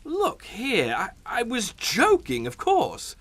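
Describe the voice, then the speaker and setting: An actor playing a cornered sea captain in a suspense radio drama, indignant but yielding, halting and stammering. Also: male voice, very high voice, huge pitch swings, a bit bright, dry recording, full character voice. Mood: shocked disbelief.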